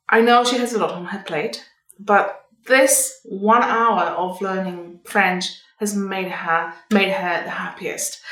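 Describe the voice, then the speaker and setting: A middle-aged woman giving advice to viewers as a vlog host, in a measured, explanatory manner. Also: medium pitch, a bit bright. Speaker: communication coach and vlog host. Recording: echoey room, distant microphone.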